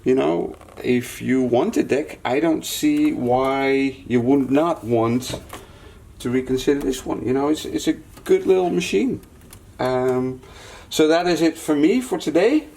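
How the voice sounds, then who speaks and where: A man's voice: medium pitch, a little slow, gravelly, dark tone, a little hiss or hum. A man giving a casual, unscripted hands-on repair demonstration, thinking aloud as he works as the host. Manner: muttering to himself while working, thinking aloud. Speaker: repair technician and channel host.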